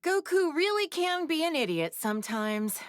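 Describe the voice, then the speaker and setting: A woman's voice: high-pitched, sing-song, normal speed, no echo, studio quality. A woman voicing a sassy, confident adult woman in an anime video game, breezy and frank. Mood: exasperated. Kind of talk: animated game voice acting.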